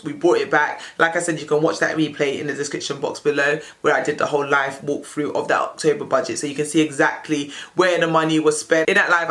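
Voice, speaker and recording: British woman vlogger, voice husky and on the mend, friendly rambling delivery. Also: sits low, fairly bright tone. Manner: chatty, upbeat, strings thoughts with "so". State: husky, voice recovering from loss. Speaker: personal finance video creator. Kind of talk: casual budget walkthrough to camera.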